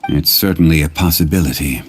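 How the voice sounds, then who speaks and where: A man playing a calm, dignified, learned gentleman in a video game, speaking in a measured, formal, composed way. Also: very deep voice, slight background noise. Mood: grave, analytical. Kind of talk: video game character acting.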